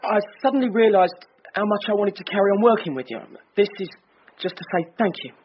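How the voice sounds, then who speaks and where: Actor as high-voiced, quick young detective sergeant. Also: male voice, natural voice. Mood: awkward, sincere. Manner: hesitant, earnest. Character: eager, earnest junior male detective sergeant, slightly awkward. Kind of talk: naturalistic radio drama acting. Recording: dull lo-fi radio broadcast, faint noise.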